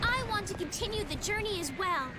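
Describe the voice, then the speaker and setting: High-voiced earnest young princess. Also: female voice, animated, fairly quick, very noisy. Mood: earnest, resolute. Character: earnest, gentle young princess, anxious but determined. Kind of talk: dubbed anime video game voice acting.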